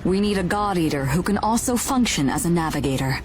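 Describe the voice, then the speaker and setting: An actress playing a composed, shrewd woman who leads a caravan crew in a video game, speaking in a measured, businesslike, authoritative way. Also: fairly low pitch, lively intonation, darkish tone, close to an everyday voice. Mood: grave. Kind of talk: video game cutscene acting. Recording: game music behind the voice.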